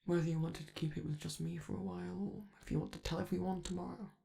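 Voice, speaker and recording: A man voicing a flamboyant, affectionate male robot star who speaks softly and teasingly to his partner in a romantic ASMR roleplay. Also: high voice, little pitch movement, fast talker, vocal fry. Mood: reassuring. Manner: soft, doting, teasing, reassuring.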